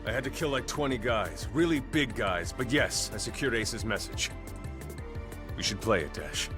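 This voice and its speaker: A man performs a heroic space general in an anime-style audio drama, a stern, protective father. His voice is on the low side, commanding, with lively dramatic swings over music. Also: normal speed, lightly acted. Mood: steady.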